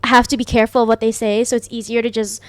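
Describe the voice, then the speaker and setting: High-voiced young woman, rambling chat. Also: little pitch movement, fast, no echo. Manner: rambling, heavy use of "like". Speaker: podcast co-host. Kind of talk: casual chat.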